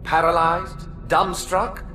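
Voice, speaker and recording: An actor playing a scornful, haughty alien prophet in a game, with sneering, theatrical delivery. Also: male voice, fairly high pitch, flat delivery, slow, over music. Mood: mocking, indignant. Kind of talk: video game cinematic acting.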